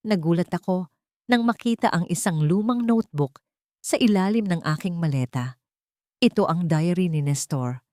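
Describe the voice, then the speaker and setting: A woman's voice: fairly low pitch, darkish tone, no room sound. Woman narrating a drama, close dry sound. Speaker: audio story narrator. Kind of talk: scripted first-person dramatic narration.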